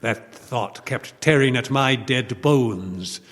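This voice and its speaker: A man's voice: mid-range voice, slow, a bit bright. An actor playing a vengeful man risen from the grave in old-time radio horror style, solemn and incantatory. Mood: mournful, menacing. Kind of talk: old-time radio horror acting before a live audience. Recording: long reverb of a live hall.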